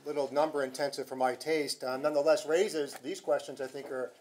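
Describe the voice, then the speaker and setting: A middle-aged man moderating a conference session at a podium, speaking in a measured, hesitant way with restarts. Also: fairly high pitch, fairly even intonation, a little mellow, slight background noise. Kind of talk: formal commentary and introduction. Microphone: podium microphone.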